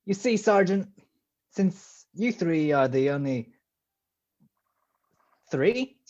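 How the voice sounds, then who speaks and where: An actor playing an urbane, mocking villain in a radio detective play, smug and drawling with elaborate politeness. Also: male voice, high voice, expressive, slow pace, darkish tone. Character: suave gloating male villain, urbane and mocking. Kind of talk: old-time radio detective acting. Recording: dull, lo-fi video call recording.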